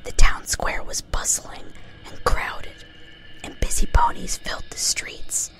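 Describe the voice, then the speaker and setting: A woman's voice: slow, over music. A woman reading a story aloud in an ASMR style. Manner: casually swears at own slips. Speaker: reader.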